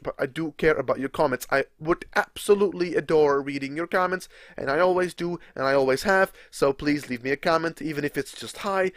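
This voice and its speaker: A man's voice: fairly high pitch, no echo, clean studio sound. A young man from the Czech Republic with a Czech accent, rambling casually as he commentates a game, restarting sentences and swearing now and then. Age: young adult. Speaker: gaming commentator.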